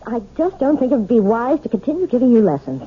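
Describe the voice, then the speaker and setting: Through a thin, tinny old radio broadcast comes a timid wife. She is weary and apologetic, hesitating as she speaks. Her voice is husky and fairly high, with pitch that moves freely. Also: sing-song, fast, full character voice. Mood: gently firm. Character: timid, weary, anxious wife with a dog phobia. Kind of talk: 1970s radio drama acting.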